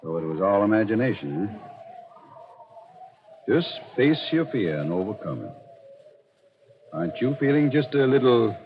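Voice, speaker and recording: A man's voice: lowish voice, very animated, moderate pace, gravelly, long pauses, phone-quality sound, noisy background. An actor playing a wry, taunting male prisoner who shows no fear, in 1940s radio drama style on an old recording. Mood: dry, needling. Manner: dry, sardonic.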